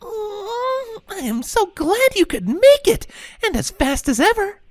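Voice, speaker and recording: A woman voicing an over-excited, doting mother pegasus in a story, gushing, squeaky and motherly. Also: slow, no echo, heavily acted. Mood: gushing, delighted. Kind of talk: animated cartoon-style story dialogue.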